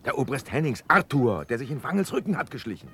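An actor playing a sober, loyal Prussian officer with an earnest, precise manner in a radio drama. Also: male voice, medium pitch, sing-song, fast talker, a bit bright, slight background noise. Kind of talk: German classical radio drama acting.

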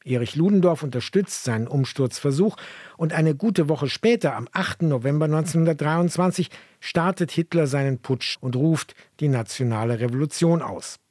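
Husky-voiced man explaining history. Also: fairly high pitch, darkish tone, dry recording, clean studio sound. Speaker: co-host of a history podcast. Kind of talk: explanatory radio history narration.

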